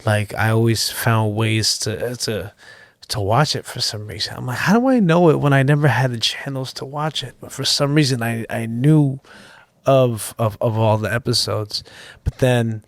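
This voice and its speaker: A man in his forties, a comedian guest on a casual podcast, telling animated stories and jumping into quick voices. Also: no echo, studio quality. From Canada. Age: 40s. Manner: animated storyteller, jumps into high-pitched impressions.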